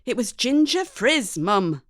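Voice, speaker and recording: A woman's voice: fairly high pitch, very animated, slow, a bit bright, dry recording, clean studio sound, pitched above the performer's own voice, exaggerated voice. A woman voicing a stroppy, defiant, sarcastic young daughter in an audio short story.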